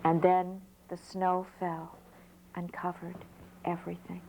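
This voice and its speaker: A woman storyteller narrating a folk tale in an unhurried way, pausing between short phrases. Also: on the low side, monotone, gravelly, mellow, slight background noise, low-quality recording.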